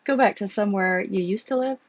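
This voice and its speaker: A hoarse woman reading as a curious, gently probing young woman. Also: mid-range voice, fairly quick, no echo, lo-fi sound, pitched above the performer's own voice, close to an everyday voice. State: hoarse with a cold.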